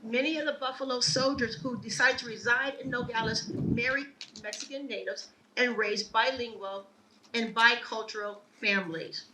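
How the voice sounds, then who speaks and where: A woman with a bright voice that sits a little high speaks at a ceremony, earnest and measured as she tells her family's story. She sounds a little distant from the mic, with faint ambience behind her. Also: fairly even intonation, slight background noise. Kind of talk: prepared speech at a ceremony. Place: outdoor ceremony.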